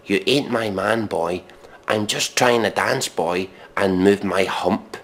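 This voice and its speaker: A man giving a mock dramatic reading in deadpan, with exasperated, scornful, sweary commentary. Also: lowish voice, takes it slowly. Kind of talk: deadpan recitation of pop lyrics with sweary commentary.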